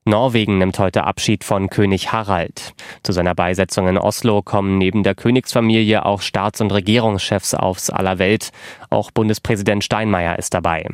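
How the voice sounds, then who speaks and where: A man reading the radio news as studio anchor in a measured, formal way. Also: lowish voice, steady tone, clear, resonant tone, dry recording. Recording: dry studio sound.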